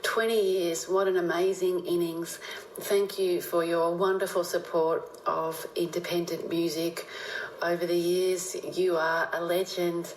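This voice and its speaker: Even-toned woman, echoey recording. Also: on the low side, measured pace. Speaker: musician. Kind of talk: recorded congratulations message. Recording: echoey room with faint noise.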